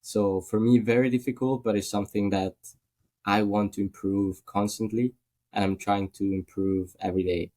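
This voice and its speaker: Low, flat-voiced Italian man. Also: normal speed. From Italy. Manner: thoughtful, hesitant with fillers. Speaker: guest. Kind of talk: casual podcast interview.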